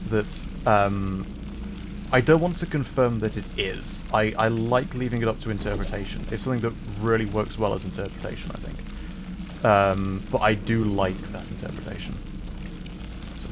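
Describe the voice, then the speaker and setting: A man's voice: fairly low pitch, normal speed, background noise. A man chatting casually while livestreaming a video game, musing and hesitant as he thinks aloud. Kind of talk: casual gaming stream chat.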